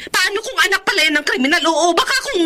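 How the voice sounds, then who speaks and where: A woman's voice: very high voice, expressive, quick pace, very noisy. An actress playing a worried, practical middle-aged mother who scolds her husband in a radio drama. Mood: angry. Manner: anxious, scolding.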